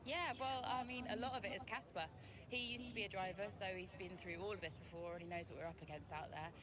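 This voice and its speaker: A woman's voice: medium pitch, fast, lightly acted. A woman playing a confident, earnest racing driver giving a post-race interview in a game. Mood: earnest, measured. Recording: noisy outdoor trackside ambience.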